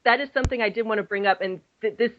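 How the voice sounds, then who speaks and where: A woman's voice: fairly high pitch, very fast, no room sound. Casting: young adult woman, rambling podcast co-host, dull lo-fi dry recording. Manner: rambling, self-deprecating, chatty with restarts. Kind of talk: casual chat.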